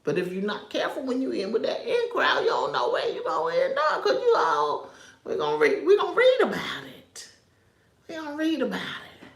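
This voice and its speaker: A woman's voice: a little high, wildly swooping pitch, brisk. Chatty woman streaming from an echoey room. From New York, raised in North Carolina. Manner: chatty, drifts off topic, chuckles often. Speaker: social media commentator. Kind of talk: casual livestream commentary.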